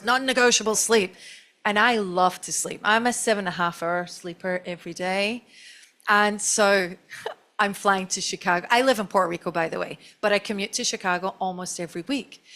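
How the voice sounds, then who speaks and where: A woman's voice: mid-range voice, a bit bright. A middle-aged Scottish woman, a marketing chief, telling a long, chatty, self-deprecating story on a stage panel. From Scotland.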